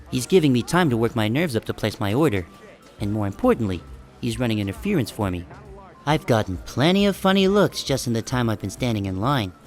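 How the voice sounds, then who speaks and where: A man playing a short, self-conscious sheep who narrates an audiobook in a wry, deadpan, casual first-person style. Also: medium pitch, natural voice.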